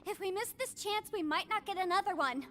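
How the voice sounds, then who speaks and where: An earnest young heroine of a fantasy game with an extremely high, bright voice. She speaks crisply and urgently, with emphatic delivery, over soft game music. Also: fairly quick. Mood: urgent, explaining. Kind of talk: video game voice acting.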